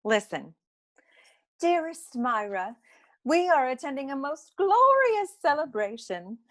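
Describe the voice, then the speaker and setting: A woman's voice: high-pitched, sing-song, takes it slowly, fairly bright tone, full character voice. An actress playing a poised, witty woman who works beside a noir detective, speaking in an arch, formal, articulate way in a comic radio play. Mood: revelatory.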